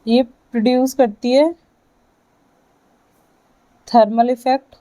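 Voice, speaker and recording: Slow-paced, higher-pitched Indian woman lecturing. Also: steady tone, mellow. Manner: deliberate, halting phrase-by-phrase delivery, often checking in. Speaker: physiotherapy lecturer. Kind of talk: lecture explaining to students, dictating key points.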